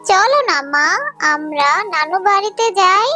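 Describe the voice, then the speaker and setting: A performer voicing a frightened, pleading little girl fox cub in a children's cartoon, with plaintive, drawn-out appeals. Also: very high voice, music in the background. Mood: eager, coaxing.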